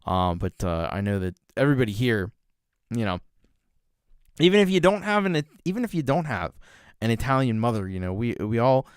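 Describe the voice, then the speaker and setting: A man hosting a casual livestream, reacting to music and rambling warmly with many fillers and restarts. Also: mid-range voice, sing-song, moderate pace, clear, resonant tone, dry recording, clean studio sound. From Canada. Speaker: music reaction show host.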